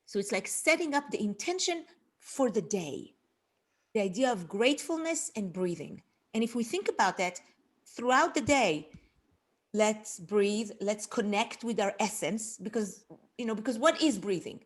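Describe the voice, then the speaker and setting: A middle-aged woman from Israel with an Israeli accent, chatting as a podcast guest in an expressive, laughing way. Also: medium pitch, moderate pace. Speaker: guest, life coach. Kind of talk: casual podcast chat.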